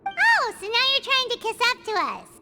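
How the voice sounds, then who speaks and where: A woman voicing a small, cute, excitable floating companion girl in a video game, with a squeaky childlike voice. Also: moderate pace, exaggerated voice. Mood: skeptical, huffy. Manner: speaks of self in third person, exclamatory. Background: loud game music.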